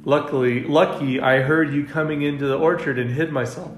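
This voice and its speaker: A man's voice: a little high, fairly even intonation, fairly quick, echoey room, soft background music, natural voice. A man voicing a feisty, folksy farm wife bear from a storybook, stern but kindly. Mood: scolding. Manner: folksy, scolding.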